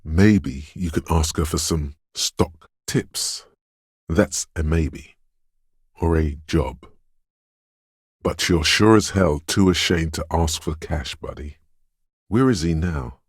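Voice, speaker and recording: An actor playing a cryptic, dreamy inner voice of intuition in a video game, theatrical and ominous, with a gravelly hushed rasp and deliberate dramatic pauses. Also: male voice, a bass voice, no echo, clean studio sound, full character voice. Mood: ominous, foreboding. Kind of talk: theatrical video game narration, second-person poetic musing.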